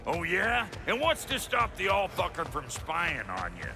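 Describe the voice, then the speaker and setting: Grumbling dwarf smith for a fantasy game: high-pitched, very animated, blunt and folksy, gravelly, music underneath. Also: male voice, unhurried, full character voice. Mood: sardonic, insistent. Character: brash, foul-mouthed, grumbling male dwarf blacksmith.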